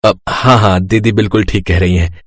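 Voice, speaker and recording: An actor playing a mild, hesitant, accommodating middle-class husband and younger brother in a scripted moral story. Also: male voice, on the low side, steady tone, normal speed, no echo, clean studio sound, lightly acted.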